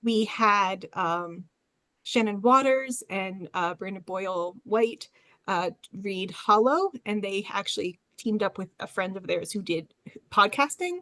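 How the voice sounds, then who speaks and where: A woman with a medium-pitched voice and a dry, close sound gives an informal panel presentation. She explains in a hesitant, conversational way, pausing often between short phrases. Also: lively intonation, fairly bright tone, no echo. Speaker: panelist, librarian.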